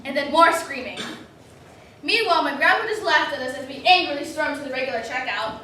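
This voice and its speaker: Distant, roomy recording. Young female reader's theater performer, very high-pitched, lively and dramatic comic storyteller. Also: a little fast. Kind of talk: staged personal comic monologue. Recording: echoey room, distant mic, faint noise.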